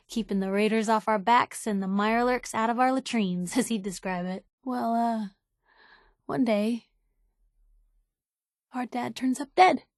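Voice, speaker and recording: A feisty young newspaper reporter in a video game. She is brash, sardonic and conversational, quick with a wisecrack and driven by conviction. The recording is close and dry, with no room sound. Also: a little high, no room sound. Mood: candid. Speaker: game companion character, a reporter. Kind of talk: acted video game dialogue.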